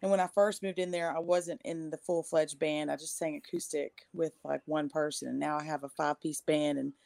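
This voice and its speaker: Chatty lower-voiced woman singer. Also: fairly even intonation, fairly quick, gravelly, darkish tone, no room sound. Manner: chatty, laughs often. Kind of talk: casual video-call chat. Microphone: video call.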